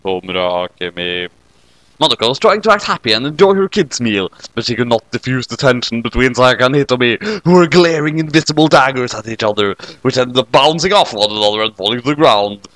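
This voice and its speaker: A man's voice: medium pitch, animated, quick pace, few pauses, fairly bright tone, dry recording, poor audio. A man reading scripted comic fan fiction as narrator.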